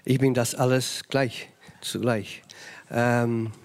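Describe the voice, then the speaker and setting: A man with a Flemish accent, a native Dutch speaker, speaks German as a guest author at a panel discussion. He pauses and restarts as he hunts for words, and his pitch sits in the middle for a man. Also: little pitch movement. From Belgium, Flanders (Ghent). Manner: searching for words in German, frequent restarts and fillers.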